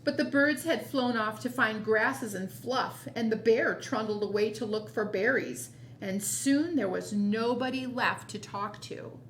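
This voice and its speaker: A woman hosting storytime, reading a children's picture book expressively, with quiet asides. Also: a little high, unhurried, hardly any pauses, slight background noise, poor audio. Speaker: storytime host.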